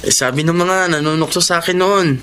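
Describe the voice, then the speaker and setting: A man's voice: fairly high pitch, brisk, a bit bright, slight background noise, close to an everyday voice. An actor playing a humble, wounded young man in a Filipino radio drama, plaintive and earnest, with a Filipino accent. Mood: shyly pleased. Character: wounded, hardworking young man scorned since childhood.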